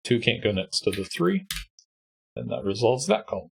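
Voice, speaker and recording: A man with a congested, husky voice, calmly walking through a sudoku puzzle step by step as host. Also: medium pitch, moderate pace. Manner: patient step-by-step explaining. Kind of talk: sudoku walkthrough.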